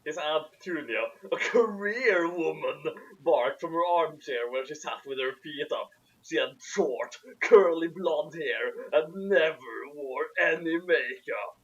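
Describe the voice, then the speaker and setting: A man's voice: fairly high pitch, a little slow, muffled recording. A man reading fan fiction aloud theatrically, with sarcastic asides. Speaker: narrator.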